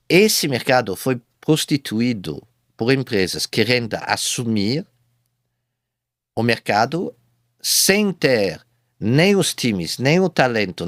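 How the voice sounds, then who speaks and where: A man speaking Portuguese as a second language, a guest telling his business story in a halting way, with many repeated false starts. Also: mid-range voice, no echo, studio quality. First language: not Portuguese. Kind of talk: casual interview storytelling.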